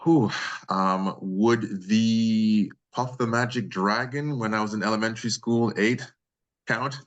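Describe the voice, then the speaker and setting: A man from Nigeria talks in a low-pitched voice. He is a voice actor answering questions in a casual, conversational interview. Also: steady tone, slow pace, lo-fi sound.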